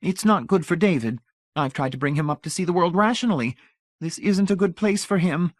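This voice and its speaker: A prim society lady gushes on in a high, fluttering voice that lifts well above the reader's own, as a man reading aloud puts her on. She keeps her chatter pleased and bland. The sound is close and dry. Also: fairly quick, no echo. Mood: gushing. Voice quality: high and gushy. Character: gushing society matron, fussy and bland.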